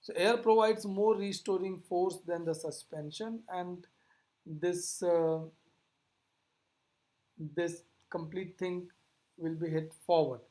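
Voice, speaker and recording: A physics lecturer teaches in an explanatory, classroom manner. The voice sits at a medium pitch. The delivery is didactic, with key points restated and rephrased as the explanation goes on. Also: fairly even intonation, takes it slowly, pauses often, mellow, poor audio.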